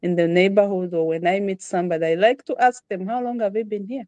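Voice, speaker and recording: A woman's voice: medium pitch, quick pace, a little mellow, no room sound. A woman from Rwanda with a Rwandan accent, talking casually as an interview guest and repeating phrases for emphasis. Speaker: podcast guest.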